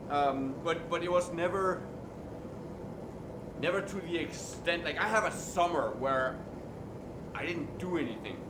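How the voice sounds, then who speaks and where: A young man with a Danish accent chats loosely and candidly, rambling a bit and swearing freely. His voice sits a little high. He sounds like he is in a roomy, echoing workshop with a steady air-conditioning hum behind him. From Denmark. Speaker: streamer and metalworker. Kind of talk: casual chat while working on stream. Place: indoor workshop.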